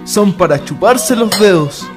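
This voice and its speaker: Guy as hearty officer in a radio play, high voice, loud music and cutlery clinking. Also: expressive, measured pace. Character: hearty military conspirator praising his town. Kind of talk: historical radio drama acting.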